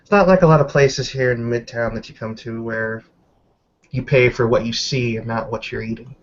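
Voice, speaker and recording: A man's voice: medium pitch, moderate pace, lo-fi sound, a little hiss or hum. A man improvising narration as game master of a tabletop roleplaying session.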